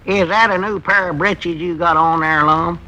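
An actor playing a naive, grumbling small-town storekeeper with a rural Southern American accent, querulous and folksy, in 1940s radio comedy style. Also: male voice, a little high, steady tone, normal speed, bright, forceful tone, faint music behind. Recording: noisy old 1940s radio broadcast.

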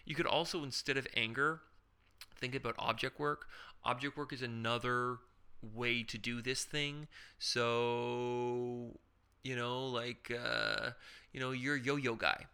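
A man co-hosting an improv podcast, explaining character work casually with quick made-up examples and rhetorical questions. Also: mid-range voice, sharp tone, clear, resonant tone. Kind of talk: casual instructional chat.

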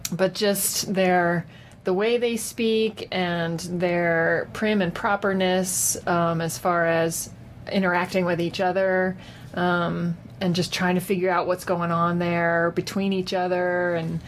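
Low-voiced woman, hesitant casual talker. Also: level tone. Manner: hesitant, halting delivery. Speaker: podcast guest giving a film review. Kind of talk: casual unscripted conversation. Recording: noticeable background noise.

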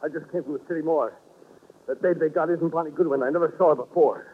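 An American actor playing an impatient, insistent man in a 1940s radio drama, husky and urgent, on a tinny recording. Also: fairly high pitch, quick pace. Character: impatient, insistent man demanding his lost woman back.

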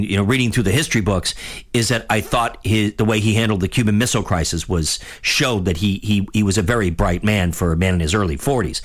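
Man, 50, radio talk host, lowish voice, blunt, rambling, repeats himself, going hoarse. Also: a bit bright. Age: 50s. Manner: rambling, many restarts, blunt. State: losing his voice. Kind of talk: opinionated radio monologue.

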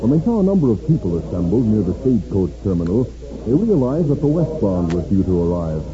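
A man's voice: fairly low pitch, sing-song, fast, a crowd in the background. A man announcing and narrating a 1950s radio western in a grand, dramatic style, stretching key words. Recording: tinny vintage 1950s radio recording. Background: music.

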